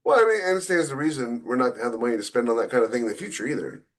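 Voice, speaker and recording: A man with an average-pitched voice chats on a podcast panel as an author. His delivery is informal and conversational, as in a discussion among colleagues. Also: animated, rapid-fire.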